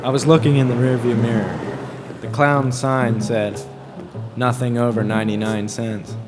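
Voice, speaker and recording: A man reading a scripted first-person story in a deadpan, terse way, as a wry, observant driver out with his girlfriend. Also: mid-range voice, normal speed, close to an everyday voice. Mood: wry, detached. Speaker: author reading excerpts from his own novel. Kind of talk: scripted first-person narration with dialogue over music. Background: music.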